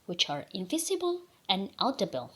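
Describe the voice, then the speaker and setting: A woman's voice: medium pitch, huge pitch swings, normal speed, pushed and bright. A young woman with an Indonesian accent, presenting a lesson from slides, reading in short, careful phrases. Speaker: student presenter.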